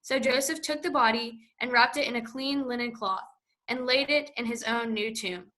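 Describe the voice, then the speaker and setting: Bright-voiced woman reading scripture. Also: fairly high pitch, moderate pace, poor audio. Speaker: scripture reader. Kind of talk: church scripture narration.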